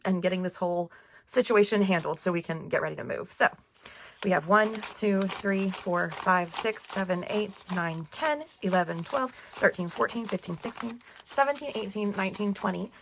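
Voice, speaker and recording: A woman's voice: mid-range voice, gravelly, fairly bright tone, slight background noise. A woman in her thirties who is losing her voice, chatting upbeat to camera as a budgeting vlogger. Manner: chatty, upbeat, laughs often. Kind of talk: casual chat to camera.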